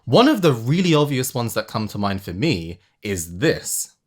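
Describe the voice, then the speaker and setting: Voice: mid-range voice, sing-song, moderate pace, heavy background noise. A video essay host narrating analysis with casual asides, in an emphatic, conversational way. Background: music under the voice.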